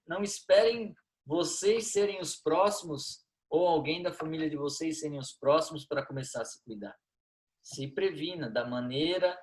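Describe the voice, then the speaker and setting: A Brazilian man in his thirties, a guest on a podcast, telling stories in a lively way, acting out dialogue and laughing often. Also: on the high side. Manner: animated storyteller, acts out dialogue, laughs a lot. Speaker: football coach, guest. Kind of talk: storytelling in a podcast interview.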